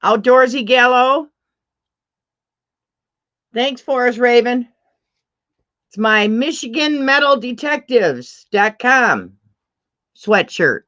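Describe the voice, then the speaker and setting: A middle-aged woman with a deep voice, hosting a casual livestream chat, chatty and laughing often as she reads out comments. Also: animated, slow, pauses often, fairly bright tone, highs cut off. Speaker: livestream host.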